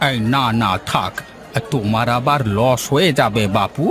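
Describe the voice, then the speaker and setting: A man's voice: medium pitch, unhurried, lightly acted. Man acting an easygoing cartoon village uncle. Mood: genial, curious. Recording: faint music behind the voice.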